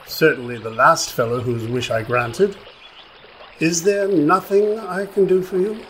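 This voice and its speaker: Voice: medium pitch, unhurried, fairly bright tone, slight background noise, close to an everyday voice. An actor in a radio play voicing a pompous, wordy magic fish who speaks in florid, old-fashioned phrases. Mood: apologetic. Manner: pompous, florid archaic diction.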